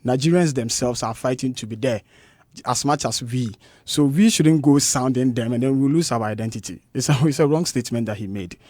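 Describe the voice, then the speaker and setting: A man's voice: medium pitch, brisk, a little mellow, no room sound. A man with a Ghanaian English accent speaks into a studio microphone as a musician guest on a radio show. He talks with passion and emphasis and drives his points home by repeating them. His voice sits in the middle range, and the booth sounds dry and close.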